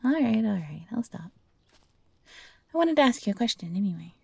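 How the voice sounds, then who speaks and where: A woman voicing an earnest, gentle but defiant young fox-hybrid companion in an audio roleplay, speaking pleadingly and hesitantly. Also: mid-range voice, sing-song, a little fast, creaky, slightly rough, no room sound, full character voice.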